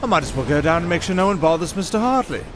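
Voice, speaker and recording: A man's voice: on the high side, lively intonation, very fast, background noise, lightly acted. A man playing a roguish, wry young musician adventurer in a fantasy audio roleplay, bantering with some hesitation. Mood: wry, scheming.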